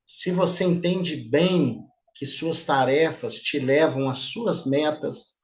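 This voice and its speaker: A middle-aged male instructor leads a live online class in a loose, chatty way. He keeps checking in with his students as he goes. His voice sits a bit high for a man. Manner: chatty, informal, peppers speech with "tá?".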